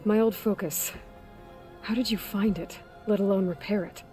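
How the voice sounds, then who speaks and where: An actress playing a guarded, inquisitive young heroine in a video game, asking questions in a direct, wary way. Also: female voice, medium pitch, fairly even intonation, normal speed, dark tone, faint music behind, close to an everyday voice. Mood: curious, guarded. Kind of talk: video game cutscene dramatic acting.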